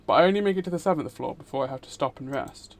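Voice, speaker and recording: A man's voice: fairly high pitch, very fast. A man live streaming, reading visual novel narration aloud and chatting casually with viewers in between. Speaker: streamer, VTuber host.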